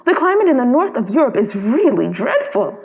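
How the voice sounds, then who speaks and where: Woman voicing a fussy, high-pitched little male swallow. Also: very animated, fairly quick, a little mellow, big-room sound. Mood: put out. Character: young male swallow, fussy, romantic, self-important traveler.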